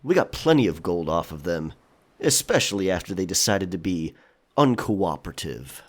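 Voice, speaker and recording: An actor playing a gruff, teasing, stubborn pirate in an audio drama. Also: male voice, low-pitched, big pitch swings, normal speed. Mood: teasing, wry.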